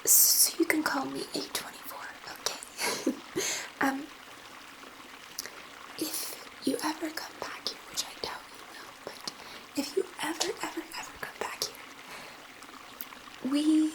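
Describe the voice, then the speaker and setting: Woman playing a whispering, gentle alien scientist. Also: sits high, poor audio. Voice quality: soft, breathy whisper. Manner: unhurried, reassuring, hesitant, murmuring to one listener. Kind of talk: ASMR roleplay, whispered.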